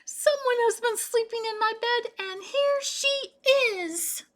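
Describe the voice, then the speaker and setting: A woman voicing a small, upset baby girl bear in a children's storybook, high and squeaky, sputtering and wailing. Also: slow, fairly bright tone, exaggerated voice. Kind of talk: children's storybook read-aloud.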